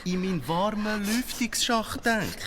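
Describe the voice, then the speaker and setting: A brash, streetwise cockroach played by an actor from a kids' radio play, talking Swiss German in cool hip-hop slang. The delivery is unhurried and swoops up and down wildly, over faint ambient noise. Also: medium pitch, lively intonation, lightly acted. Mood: apologetic, kindly. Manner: slangy hip-hop talk sprinkled with English. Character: cocky streetwise cockroach, brash big-city daredevil with a kind streak. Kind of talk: children's radio play acting.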